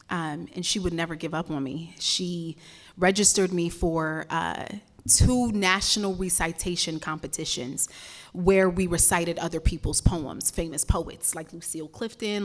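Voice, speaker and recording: A woman's voice: on the low side, unhurried. A young woman from Ghana, a spoken word poet, talking to a live event crowd and then performing a poem with a rhythmic, impassioned delivery and repeated words. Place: stage at a live campus event.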